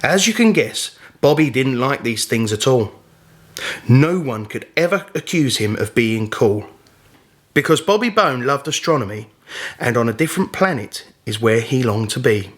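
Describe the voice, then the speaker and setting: A man's voice: medium pitch, lively intonation, normal speed. A man reading a rhyming children's story as narrator, in a scripted storytelling style.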